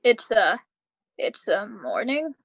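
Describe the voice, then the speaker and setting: A woman playing a weary, heartbroken uncle in a live radio drama, with halting delivery. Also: medium pitch, very animated, creaky, no echo, full character voice. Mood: weary, resigned. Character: weary, heartbroken uncle, slowly recovering after misfortune.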